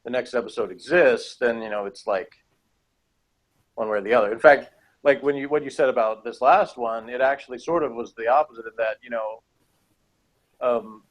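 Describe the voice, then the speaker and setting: A man's voice: medium pitch, moderate pace, poor audio. A man in a casual review chat, rambling and hedging as he argues his points as a co-host. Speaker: podcast co-host reviewing TV episodes.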